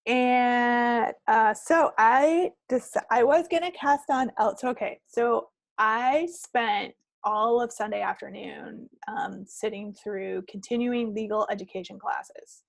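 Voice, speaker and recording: Creaky-voiced woman chatting casually. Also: a little high, takes it slowly, hardly any pauses, no room sound. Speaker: podcast co-host. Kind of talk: casual chat about knitting projects.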